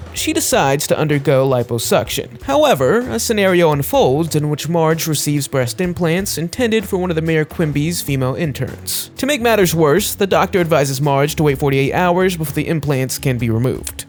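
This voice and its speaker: A man reading scripted narration for a list video, presenting facts and putting questions to the viewer. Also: a little high. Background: music.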